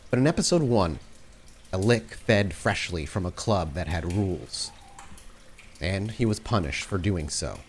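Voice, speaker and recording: A man with a low voice runs a tabletop game as its storyteller. He describes each scene in measured, atmospheric detail. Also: darkish tone, a little hiss or hum. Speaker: game master.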